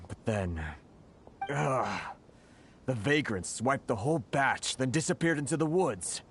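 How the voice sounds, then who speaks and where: A man's voice: medium pitch, lively intonation, takes it slowly, breathy edge, full character voice. A man voicing a flustered, aggrieved merchant in a video game, hesitant and exasperated. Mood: dejected, exasperated.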